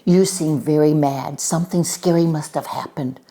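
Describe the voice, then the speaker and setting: A woman acts out a female schoolteacher in a children's storybook. Her voice is low and mellow, and she speaks slowly and deliberately, calm, firm and caring. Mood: concerned. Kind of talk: children's storybook read-aloud.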